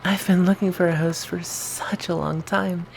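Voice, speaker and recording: A woman's voice: fairly low pitch, steady tone, normal speed, vocal fry, a little mellow, slight background noise. A woman voicing a sweet, timid young male zombie, hesitant and friendly, in an ASMR roleplay. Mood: shy, happy.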